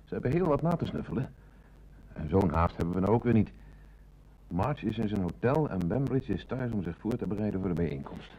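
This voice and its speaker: A man playing a calm, polite, observant police detective in a Dutch radio play. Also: lowish voice, brisk, muffled recording. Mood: matter-of-fact.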